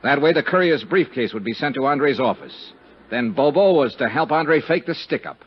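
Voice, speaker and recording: An actor playing a tough, cynical American investigator in a 1950s radio drama, clipped, slangy and sardonic, both narrating and in dialogue. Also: male voice, on the high side, lively intonation, normal speed, breathy edge. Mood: accusing. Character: hard-boiled male investigator, cynical, tough and world-weary. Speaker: lead actor and narrator of a radio detective serial. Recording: muffled, noisy 1950s radio recording.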